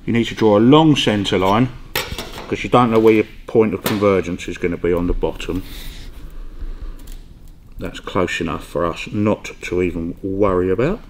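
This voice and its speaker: Low-voiced man in a noisy workshop. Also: steady tone, normal speed. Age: middle-aged. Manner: offhand, often ends on tag questions. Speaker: workshop mechanic demonstrating metal fabrication. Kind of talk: casual hands-on tutorial. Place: large garage workshop, indoors. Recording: clear background noise in workshop.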